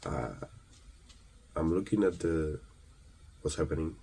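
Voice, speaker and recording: A man from Ukraine delivers an earnest, emphatic motivational monologue, halting between phrases and posing rhetorical questions. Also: very deep voice, animated, dark tone, slightly rough. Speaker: motivational speaker.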